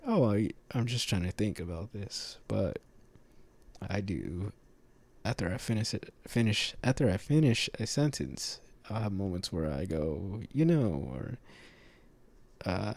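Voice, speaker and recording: Low gravelly man, halting, full of fillers. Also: mellow. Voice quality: slightly nasal. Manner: halting, many fillers and pauses. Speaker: vlogger. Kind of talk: casual reflective monologue.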